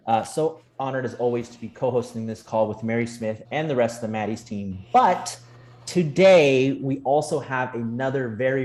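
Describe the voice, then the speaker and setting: Man, mid-pitched, upbeat and friendly webinar co-host. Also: darkish tone. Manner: enthusiastic, friendly. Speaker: co-host, program director. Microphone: video call.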